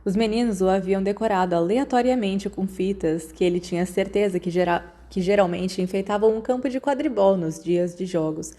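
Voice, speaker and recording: A woman's voice: mid-range voice, moderate pace, hardly any pauses, mellow. A woman with a Brazilian accent reading fiction aloud in a scripted storytelling narration. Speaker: audiobook reader.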